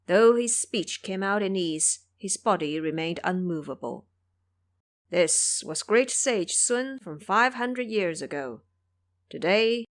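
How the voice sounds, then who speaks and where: A woman narrator telling a scripted story in the style of an audio drama. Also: medium pitch.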